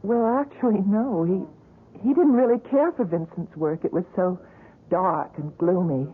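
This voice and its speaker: A woman's voice: fairly low pitch, unhurried, lightly acted. An actress playing a young woman who hesitantly but persistently asks to see a closed exhibit. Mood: earnest. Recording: tinny 1970s radio broadcast.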